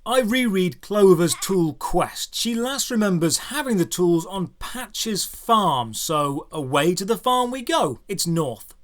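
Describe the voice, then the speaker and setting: High-pitched man narrating a game review. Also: animated, fairly bright tone. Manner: dry, sardonic, deliberate phrasing. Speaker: game reviewer and show host.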